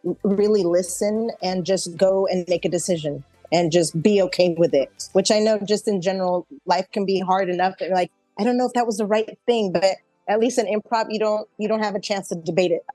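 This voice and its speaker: A woman's voice: mid-range voice, a little fast, warm tone, dry recording, soft background music, low-quality recording. A woman with an American accent chatting casually as an interview guest, explaining things in a reflective way. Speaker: interview guest, actor and improv performer.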